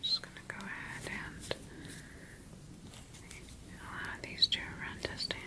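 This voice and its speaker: A woman playing a calm, clinical sci-fi scientist in a soothing, methodical ASMR roleplay, speaking in a breathy whisper. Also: takes it slowly, lightly acted. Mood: clinical, focused. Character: calm, clinical female sci-fi scientist examining her creation.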